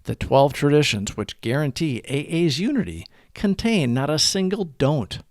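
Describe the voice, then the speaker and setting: Man reading reflections, highly animated pitch. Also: moderate pace, no room sound, clean studio sound. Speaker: host of a daily recovery reading. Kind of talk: scripted reflective read-along narration.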